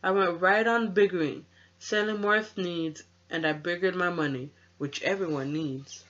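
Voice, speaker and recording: A storybook reader narrating for children in an expressive, rhythmic, dramatic way, lingering on key words. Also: medium pitch, a little slow, lo-fi sound.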